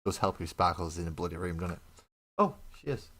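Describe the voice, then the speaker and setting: Guy with a deep voice rambling to his stream while building minis, coughing a bit. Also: lively intonation, fast talker, fairly bright tone. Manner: chatty, laughs often, talks to viewers in chat. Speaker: mini painting livestream host. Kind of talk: casual chat while building models.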